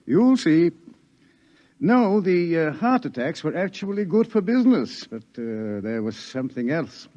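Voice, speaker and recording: An actor playing a grave scientist and doctor who lectures in a measured, ominous way. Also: male voice, a little high, very animated, warm tone. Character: grave older scientist warning of doom. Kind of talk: 1950s radio acting. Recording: dull 1950s radio broadcast.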